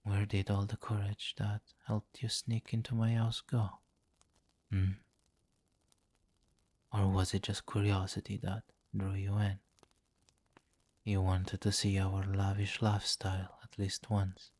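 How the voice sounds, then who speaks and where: A domineering vampire king, acted by a man for an ASMR roleplay, speaks very slowly in a low voice. He is seductive and condescending, giving soft commands in a hypnotic, possessive murmur. The delivery stays measured and close to a whisper, with little rise or fall. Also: monotone, no room sound. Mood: haughty, mocking. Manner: slow, coaxing, possessive, softly commanding, often near whisper.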